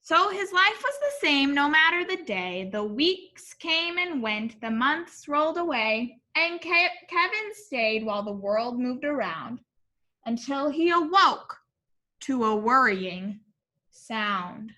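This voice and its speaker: A woman reading a picture book aloud in an animated, expressive way, as if to young children. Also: high voice, slow. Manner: expressive, addressing young listeners.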